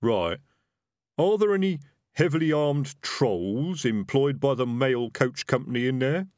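A man playing a cautious, chatty highwayman in a storybook reading, matter-of-fact and methodical. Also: animated, a little slow, darkish tone, dry recording, clean studio sound, natural voice. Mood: methodical, wary.

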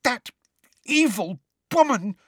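A man's voice: mid-range voice, wildly swooping pitch, brisk, no room sound, studio quality, voice pushed up. A man voicing an earnest, emotional teenage schoolgirl, clever and indignant, in a storybook reading. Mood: outraged, gasping.

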